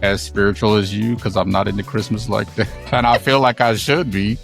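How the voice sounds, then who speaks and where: A man's voice: on the low side, steady tone, a little fast, gravelly, a bit bright, soft background music. A man co-hosting a podcast in casual, playful chat, a little stuffy with a cold. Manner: playful, joking, laughs often. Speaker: podcast co-host.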